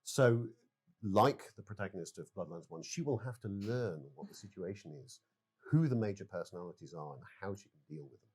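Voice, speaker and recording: A man explaining a game as its developer in an interview, halting and repeating words as he goes. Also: low-pitched, lively intonation, darkish tone, slightly rough.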